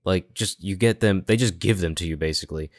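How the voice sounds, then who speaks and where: A man with a low voice talks casually on a gaming podcast. He is chatty and relaxed in his phrasing, like a co-host among friends. The sound is dry, with very little room. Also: brisk, no room sound.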